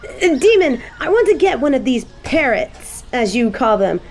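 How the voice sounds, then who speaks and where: A woman playing a snobby but secretly soft fallen angel in a scripted audio roleplay, with prim, formal phrasing. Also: high voice, big pitch swings, moderate pace, faint music behind, full character voice. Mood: smitten, cooing.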